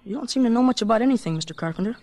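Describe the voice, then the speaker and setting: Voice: mid-range voice, expressive, racing, warm tone, close to an everyday voice. A performer playing an eager, candid American schoolboy with an artless, gee-whiz manner in a radio drama. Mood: eager, curious.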